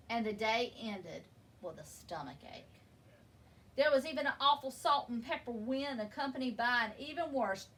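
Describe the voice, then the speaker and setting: A woman reading a children's picture book aloud in an expressive, deliberate storytelling manner, leaning on key words. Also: on the high side. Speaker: story reader. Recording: distant microphone with background noise.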